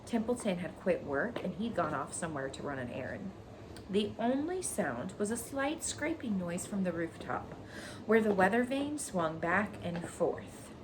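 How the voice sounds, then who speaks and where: A woman's voice: mid-range voice, expressive, a little slow. A woman teacher reading a children's story expressively, with casual explanations. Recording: noticeable background noise.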